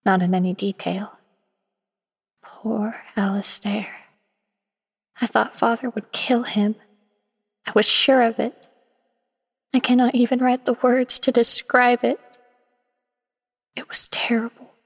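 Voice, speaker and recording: A woman playing a proper, obedient young schoolteacher who reads her diary in formal, old-fashioned, measured diction as horror narration. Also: fairly high pitch, level tone, creaky, slightly rough, heavily acted. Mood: anguished. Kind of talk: dramatic first-person audio horror narration. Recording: studio narration over prominent music.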